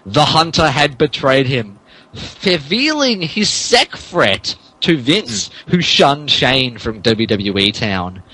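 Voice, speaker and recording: A man's voice: mid-range voice, big pitch swings, slow, pushed and bright, slightly rough, poor audio. A man narrating a comic dramatic reading in a mock-serious way, often stumbling over his words. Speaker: podcast host reading as narrator.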